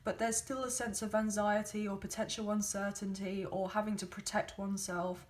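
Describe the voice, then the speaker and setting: Croaky-voiced woman doing a chatty tarot reading on her phone, lots of ums. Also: mid-range voice, level tone, normal speed. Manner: chatty with many fillers. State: hoarse. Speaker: tarot card reader.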